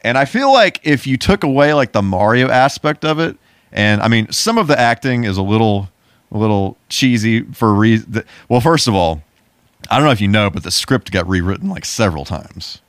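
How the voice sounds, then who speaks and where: A man co-hosting a casual podcast about movies, talking in a loose, informal and halting manner. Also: fairly low pitch, lively intonation, no room sound. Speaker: podcast co-host.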